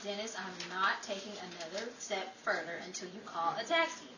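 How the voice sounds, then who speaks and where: An actress playing a long-suffering, sharp-tongued wife in a 1940s-style radio comedy, scolding and quick with dry comebacks. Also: female voice, mid-range voice, close to an everyday voice. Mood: exasperated. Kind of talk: 1940s old-time radio comedy acting. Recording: echoey, distant, very noisy recording.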